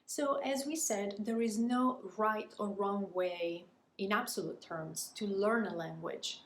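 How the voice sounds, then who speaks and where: An Italian woman with an Italian accent speaks as a language coach in a prepared lesson to viewers. Her voice sits at a middling pitch, and she phrases things articulately with deliberate emphasis. The room is noticeably echoey, with faint background noise. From Italy. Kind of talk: prepared educational talk to viewers.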